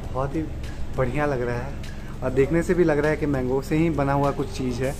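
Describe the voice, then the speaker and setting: A man's voice: mid-range voice, steady tone, brisk, music in the background. Cheery young man touring a restaurant. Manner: enthusiastic, addresses viewers directly. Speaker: food vlogger. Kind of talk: casual food vlog narration. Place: indoor restaurant.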